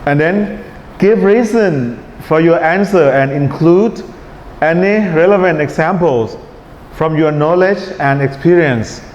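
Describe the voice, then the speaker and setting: A man with a Thai accent teaching an IELTS writing class in a relaxed lecture style, often checking in with his students. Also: fairly high pitch, unhurried. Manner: relaxed, checks in with "right?" and "okay?". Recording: echoey hall, dull sound, faint hiss.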